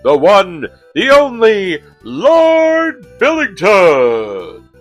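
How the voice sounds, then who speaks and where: Man playing an excitable high-voiced comic sidekick. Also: very slow, over music, full character voice. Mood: hyped announcing. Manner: exaggerated, animated delivery. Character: excitable, skeptical guy turned comical show announcer. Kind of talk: radio play acting.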